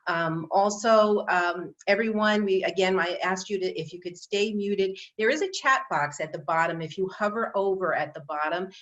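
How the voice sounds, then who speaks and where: A woman's voice: fairly low pitch, a bit bright. A woman hosting an online training event, giving chatty announcements with many fillers and restarts. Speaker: event host. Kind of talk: casual online meeting announcements. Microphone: video call. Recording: dull video call audio.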